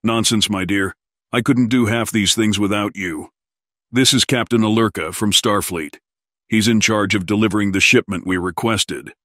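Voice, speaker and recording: A man's voice: on the low side, normal speed, fairly bright tone, no echo, studio quality. A man playing a fussy, courteous alien colony administrator in an audio drama, with a formal, officious manner. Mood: genial.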